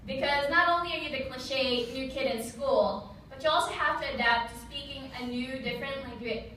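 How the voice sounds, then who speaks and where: A young woman from the Philippines, a Tagalog speaker, gives a measured prepared speech as an Army officer. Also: on the high side, pushed and bright. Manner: measured, reads from a prepared text. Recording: echoey hall, distant mic, faint room noise.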